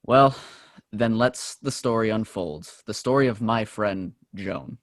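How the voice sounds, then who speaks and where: A man's voice: on the low side, a little slow. A man playing a remorseful, devoted soldier mourning his friend, reading earnestly in a play table read. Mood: resolved.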